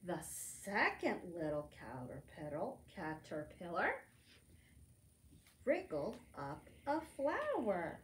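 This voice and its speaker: A middle-aged woman reads a picture book aloud to preschoolers in an expressive, teacherly manner, adding simple asides. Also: on the low side, slow pace, slight background noise. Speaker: librarian storytime reader. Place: library room among bookshelves, indoors. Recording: roomy indoor echo.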